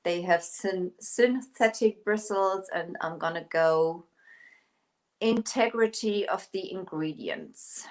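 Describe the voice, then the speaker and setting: A woman from Germany with a German accent, English not her first language, hosting a casual live painting stream, pausing to search for words and greeting viewers. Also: fairly low pitch, big pitch swings, reverberant. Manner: searches for words, restarts often, chats to viewers. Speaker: painting livestream host.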